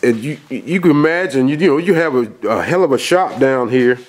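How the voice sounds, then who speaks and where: A man with a cold and a nasal voice, filming a folksy, rambling walkthrough of a dark house basement on a handheld camera, with lots of fillers and restarts. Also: medium pitch, animated, brisk. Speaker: outdoors video host. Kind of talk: casual vlog walkthrough.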